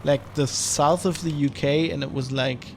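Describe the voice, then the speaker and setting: A man's voice: a little high, fairly even intonation, moderate pace, a little hiss or hum. A man giving rambling, casual commentary while streaming a flight sim. He restarts and corrects himself often. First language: German.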